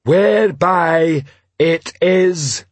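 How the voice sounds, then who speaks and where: A huge male troll police sergeant from an audiobook. He speaks very slowly and heavily, in blunt, laboured, broken grammar. He is dim but dutiful and throws his voice out loudly. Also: a little high, dry recording, pitched above the performer's own voice. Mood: halting, bluffing. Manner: slow, laboured, ungrammatical speech. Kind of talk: audiobook character voice.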